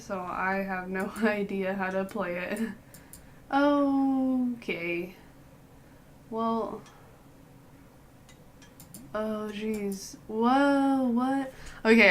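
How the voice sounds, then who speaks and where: A young woman, hoarse from a cold, giving a casual game guide voice-over and often clearing her throat. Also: a little high, slight background noise. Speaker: gaming youtuber.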